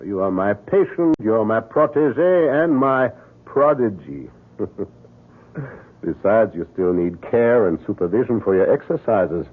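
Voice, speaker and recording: An actor playing a kindly, fatherly German surgeon in an old-time radio drama, with an emphatic, deliberate delivery and a German accent. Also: on the low side, lively intonation. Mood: jovial, insistent. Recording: narrow, tinny vintage radio recording.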